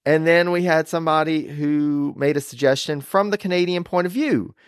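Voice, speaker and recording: A man's voice: a little high, normal speed, clean tone, no echo. A man hosting a history podcast in casual chat, upbeat and laughing often, on a close microphone. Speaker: podcast host.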